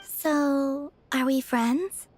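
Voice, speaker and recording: Naive game maiden: high register, gentle, serene, matter-of-fact innocence. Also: female voice, fairly even intonation, very slow, a little hiss or hum. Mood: naive, sincere. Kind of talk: video game voice acting.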